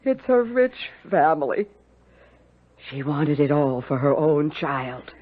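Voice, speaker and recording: An actress playing a stern, bitter older housekeeper in a radio drama, with grave, emphatic delivery. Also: female voice, deep voice, sing-song, takes it slowly, a little hiss or hum, full character voice. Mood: bitter, accusing. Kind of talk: 1970s radio drama acting. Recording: dull vintage radio recording.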